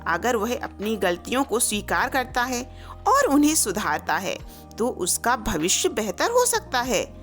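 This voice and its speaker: A woman narrates a scripted moral tale at a slow, measured pace. Her voice sits fairly high and moves in wide, animated swings of pitch, with music playing underneath. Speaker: storyteller.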